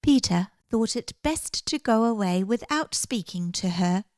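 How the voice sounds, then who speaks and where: A woman reading a children's story aloud in an expressive, gentle, deliberate storytelling manner. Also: medium pitch, dry recording, studio quality.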